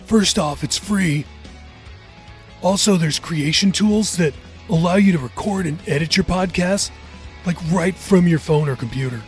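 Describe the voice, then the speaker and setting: A man's voice: high-pitched, unhurried, soft background music. A man hosting a podcast, giving a chatty, informal, upbeat promo read. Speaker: podcast host.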